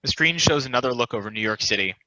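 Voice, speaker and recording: A man's voice: mid-range voice, lively intonation, fast, bright, forceful tone, no echo, lo-fi sound. A man reading stage directions as scripted narration for a table read.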